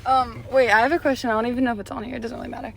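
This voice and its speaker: A woman's voice: a little high, steady tone, racing, creaky, fairly bright tone, a little hiss or hum. A woman in her late teens co-hosting a student podcast in a casual, slangy way. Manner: slangy, informal. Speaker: podcast co-host, student editor.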